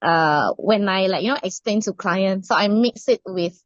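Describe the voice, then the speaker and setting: A woman's voice: medium pitch, normal speed, no room sound. A middle-aged Indonesian woman with an Indonesian accent, answering interview questions hesitantly with many fillers and restarts. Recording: muffled dry recording.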